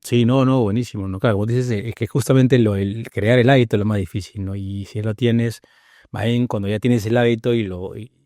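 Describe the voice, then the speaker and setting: A man's voice: lowish voice, steady tone, fairly quick, warm tone, dry recording, clean studio sound. A man hosting a podcast interview, asking long, winding questions and often adding "¿no?". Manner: rambling questions with many "¿no?" tags. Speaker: podcast host.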